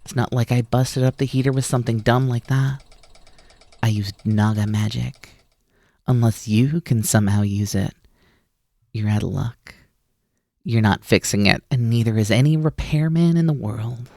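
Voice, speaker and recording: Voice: medium pitch, moderate pace, a little mellow, no room sound, clean studio sound, close to an everyday voice. A performer in an ASMR roleplay voicing a mischievous, teasing naga snake-demon roommate who coaxes playfully and likes to be in charge. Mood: mischievous, scheming.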